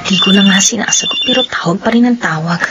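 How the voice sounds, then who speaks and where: A woman's voice: medium pitch, fast, heavy background noise, natural voice. A woman acting an earnest, polite and plaintive young daughter in a melodramatic radio drama, with music underneath. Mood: defensive.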